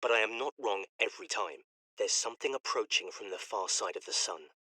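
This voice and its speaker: A man's voice: on the low side, fairly quick, bright, forceful tone, slight background noise. A man playing a defensive, aggrieved communications technician in a game audio log, insistent and self-justifying.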